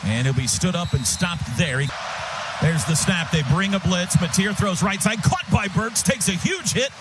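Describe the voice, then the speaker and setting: High-pitched male football play-by-play announcer. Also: normal speed, background noise. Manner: excitable, urgent, punchy calls that swell to shouts. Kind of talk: live football radio commentary in short clipped phrases.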